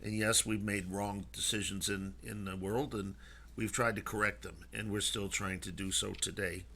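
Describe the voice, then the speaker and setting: A man hosting a talk radio show, speaking conversationally in a halting, earnest way. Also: on the low side, level tone, vocal fry, pushed and bright, a little hiss or hum.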